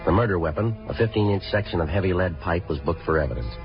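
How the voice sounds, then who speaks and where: An actor playing a terse, businesslike police detective, clipped and deadpan, in a 1950s radio police procedural. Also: male voice, deep voice, fast, over music. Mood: flat, factual. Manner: clipped, deadpan, matter-of-fact. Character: terse, businesslike police detective, narrating his own case. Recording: muffled old radio broadcast recording.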